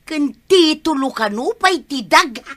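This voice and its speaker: Woman acting a bright-voiced, scolding Ilocano village woman. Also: high-pitched, expressive, unhurried. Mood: matter-of-fact, listing. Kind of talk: comedy drama acting.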